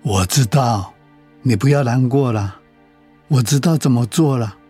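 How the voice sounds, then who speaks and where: A man playing a weary, proud elderly father in a radio drama, with a husky voice. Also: medium pitch, unhurried, mellow, faint music behind. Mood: soothing. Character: weary elderly father, proud but pleading, soothing husband.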